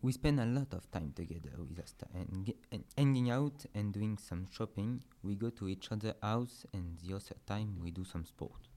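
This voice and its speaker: A man's voice: lowish voice, moderate pace, hardly any pauses, dark tone. A man guest on a podcast, giving plain, matter-of-fact answers.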